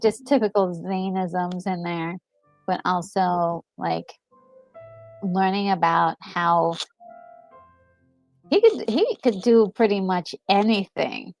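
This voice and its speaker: A woman gaming co-host talking casually and enthusiastically, thinking aloud in a halting way as she reacts to a game. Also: mid-range voice, lively intonation, darkish tone, faint music behind, low-quality recording. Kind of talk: casual reaction chat about a video game.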